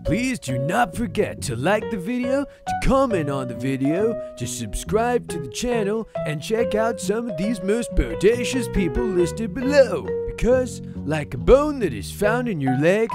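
Woman doing a lively slangy dude joker. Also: medium pitch, a little slow, few pauses, loud music behind the voice. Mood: breezy, joking. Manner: slangy, breezy, mock-grandiose dude talk. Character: laid-back surfer-dude joker from a video game. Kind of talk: video game character outro.

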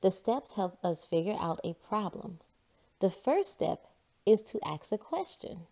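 A woman hosting a children's read-aloud, telling things in an expressive, deliberate storyteller's way. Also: medium pitch, vocal fry.

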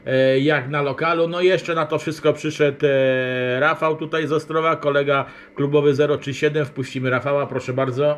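A man with a somewhat high-set voice chats as an amateur radio operator. His delivery is casual and rambling, with a friendly way of greeting fellow operators. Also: little pitch movement, normal speed, few pauses. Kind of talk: casual radio contact chat.

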